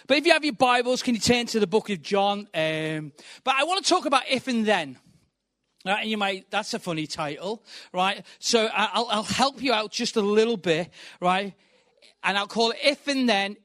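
A middle-aged man with a Liverpool accent preaching a sermon in a quick, chatty, joking way, often saying "right". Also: high voice, fairly bright tone, dry recording, studio quality. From England. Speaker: guest preacher.

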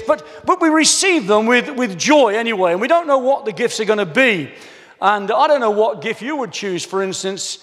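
A man preaching a sermon, reading scripture slowly and then chatting eagerly to the congregation. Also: high voice. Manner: animated, enthusiastic, chatty asides to the congregation. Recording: hall reverb.

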